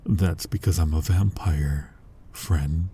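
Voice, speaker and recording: A man playing an ancient, brooding, menacing vampire who hunts his own kind, in an ASMR roleplay, husky and breathy with a slow, deliberate, intimate delivery that often drops toward a whisper. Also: very deep voice, mellow, a little hiss or hum, full character voice. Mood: quiet menace. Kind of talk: scripted ASMR roleplay monologue.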